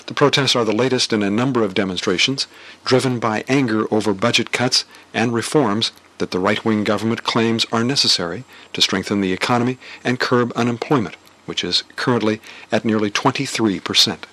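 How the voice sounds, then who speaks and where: A man reading international news stories in a measured, scripted newsreader delivery. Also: on the low side, fairly bright tone, poor audio. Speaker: news reader.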